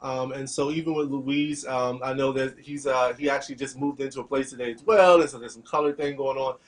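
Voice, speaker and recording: A man hosting an online panel discussion in a halting way, repeating phrases, from a room at home on a video call. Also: medium pitch, steady tone. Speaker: host. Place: small room at home, indoors.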